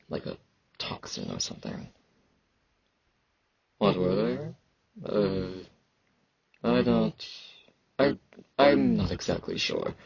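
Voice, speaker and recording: A man playing an aloof, battle-hardened fantasy knight in an ASMR roleplay, halting and terse, with a husky, gravelly voice and sibilant S sounds. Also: muffled recording, exaggerated voice. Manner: halting, terse, low-key, socially awkward.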